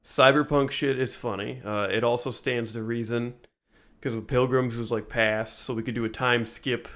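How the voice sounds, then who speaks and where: A man's voice: mid-range voice, fairly even intonation, normal speed, a bit bright. A man co-hosting a casual podcast, talking with dry, sardonic exaggeration. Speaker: podcast co-host. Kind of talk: casual behind-the-scenes podcast chat.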